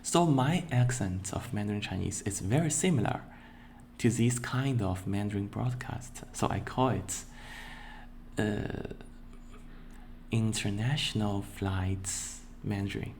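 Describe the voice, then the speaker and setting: A young man introducing himself as a virtual streamer and answering questions in a slow, deliberate way, with playful bursts of excitement. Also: on the low side, a little hiss or hum. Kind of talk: casual self-introduction and Q&A.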